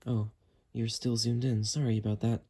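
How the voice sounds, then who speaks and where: A man's voice: medium pitch, little pitch movement, moderate pace, warm tone, slightly rough. A man giving a casual how-to narration, explaining each step as he works with his hands. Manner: explanatory, talking while working with hands. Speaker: host of a how-to cleaning video.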